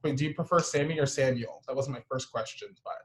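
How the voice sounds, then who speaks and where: A man hosting a show, delivering a fast, profane comedic monologue before interviewing a guest. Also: medium pitch, roomy sound. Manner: quick, profane, joking delivery. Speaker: host.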